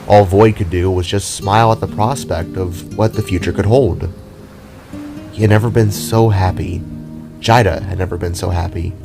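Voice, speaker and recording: A man's voice: sits low, fairly even intonation, normal speed, creaky. A man reading scripted fantasy story narration as the narrator of an audio drama. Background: music.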